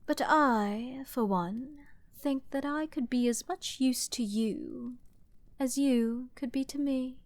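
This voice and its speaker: Arrogant fantasy princess: lightly high-pitched, very unhurried, haughty and playfully seductive, audio-drama delivery. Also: female voice, dry recording. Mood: sly, flirtatious. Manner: haughty, grandiose, playful aristocratic drawl with ornate diction. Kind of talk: scripted dramatic ASMR roleplay addressed to the listener.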